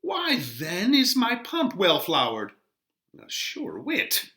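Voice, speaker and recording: Man playing a witty young gentleman, theatrical Shakespeare, teasing, emphatic, very bright, wide swings in pitch. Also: wildly swooping pitch, slow pace, full character voice. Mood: playful, boastful. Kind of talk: dramatic Shakespeare reading.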